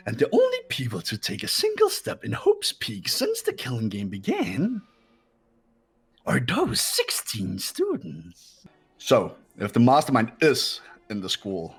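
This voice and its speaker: A man's voice: medium pitch, slightly rough, full character voice. A man voicing a cold, confident teenage boy who lectures the group in a fan-voiced game.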